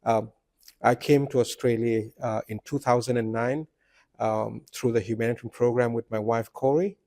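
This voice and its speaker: A man's voice: medium pitch, steady tone, normal speed, a little mellow, no room sound. Hesitant man from Myanmar. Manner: hesitant, many fillers. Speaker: agency director. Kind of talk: speech at a panel event.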